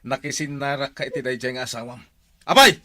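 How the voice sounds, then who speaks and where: An actor playing a jealous, hot-tempered husband who shouts accusations in a comedy drama. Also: male voice, medium pitch, brisk. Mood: jealous rage. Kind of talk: amateur comedy drama acting.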